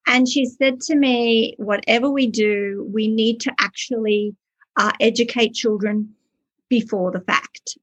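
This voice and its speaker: A woman's voice: on the high side, fairly even intonation, unhurried, breathy edge, poor audio. A woman author and early childhood educator, answering podcast interview questions with earnest, passionate storytelling.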